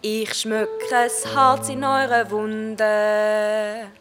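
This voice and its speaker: A woman's voice: medium pitch, little pitch movement, extremely slow. A female performer playing a solemn young girl reciting poetic verse in a radio play. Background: music.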